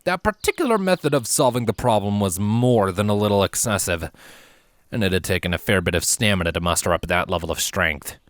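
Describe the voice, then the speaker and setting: A man's voice: on the low side, lively intonation, a little fast, fairly bright tone, no echo, clean studio sound. A man reading a scripted fantasy story aloud as its narrator. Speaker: fan fiction reader, narrator. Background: background music.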